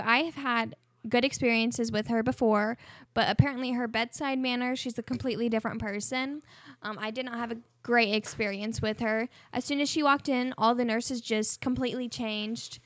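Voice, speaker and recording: A woman's voice: on the high side, normal speed, fairly bright tone, dry recording. A woman in her early twenties co-hosting a casual podcast, telling a story candidly with bits of laughter. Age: 20s. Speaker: podcast co-host. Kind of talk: casual chat.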